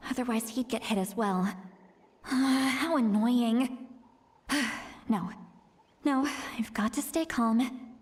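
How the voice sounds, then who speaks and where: A woman's voice: fairly high pitch, measured pace, no echo. Brash, impatient warrior grandmother. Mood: worried, irritated. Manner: brash, grumbling, self-restraining. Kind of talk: anime game voice acting.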